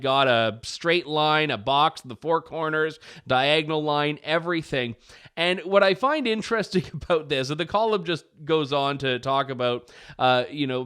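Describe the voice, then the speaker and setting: Smooth-voiced man, wry commentary host. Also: on the high side, fairly bright tone. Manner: wry, casual with frequent "like" fillers. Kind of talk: political commentary chat.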